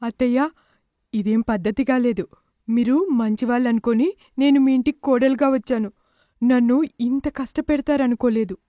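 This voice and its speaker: A woman's voice: on the high side, moderate pace, dark tone, dry recording, studio quality, close to an everyday voice. A woman playing an aggrieved young daughter-in-law in a cartoon moral story, speaking with plaintive reproach. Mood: hurt reproach.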